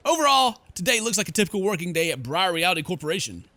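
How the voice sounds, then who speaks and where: A man's voice: high voice, big pitch swings, quick pace, pushed and bright, no room sound. A man hosting a horror game let's play, reading the story aloud and reacting in a theatrical, exclamatory way. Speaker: gaming video host.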